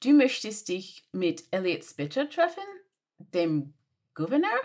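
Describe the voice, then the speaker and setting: A woman's voice: medium pitch, very animated, unhurried, darkish tone. A woman voicing a flustered young female assistant who answers in baffled, exasperated questions. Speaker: podfic reader. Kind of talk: German audio drama reading.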